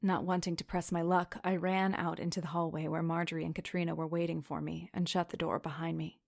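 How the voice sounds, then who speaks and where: Woman acting a lower-voiced, wounded, sardonic young narrator. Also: level tone, fairly quick, gravelly, a little mellow, no echo, clean studio sound. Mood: reflective, subdued. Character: wounded, jumpy, sardonic female narrator recalling her schoolgirl self. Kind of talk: first-person audio drama narration and dialogue.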